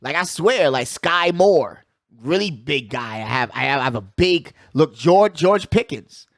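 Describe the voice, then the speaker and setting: A man's voice: fairly high pitch, animated, measured pace, gravelly, no room sound, studio quality. A man hosting a sports show with casual, slangy commentary, hyping his points and repeating phrases for emphasis. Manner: slangy, hype-man delivery with frequent check-in phrases.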